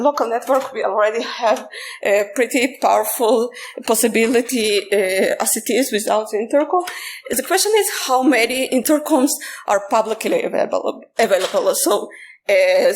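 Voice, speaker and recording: A woman speaking as a conference presenter, explaining technical material informally, with halting phrasing and frequent filler sounds. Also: fairly high pitch.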